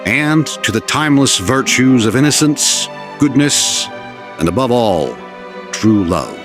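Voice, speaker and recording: A man narrating an old legend from a script in a measured, formal storytelling manner. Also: medium pitch, big pitch swings. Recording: voice over orchestral music.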